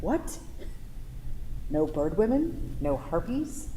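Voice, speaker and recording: In an echoey hall with faint noise, an actress plays a confident woman quarrelling with her lover in a stage play. Her voice is mellow and soft-edged, and her delivery is frank, sardonic and challenging. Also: medium pitch, big pitch swings, slow. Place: theatre stage at a live staged reading.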